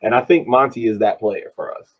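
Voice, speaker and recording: Husky man on slightly muffled podcast audio. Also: mid-range voice, a little fast. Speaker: sports podcast co-host. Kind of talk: casual soccer analysis.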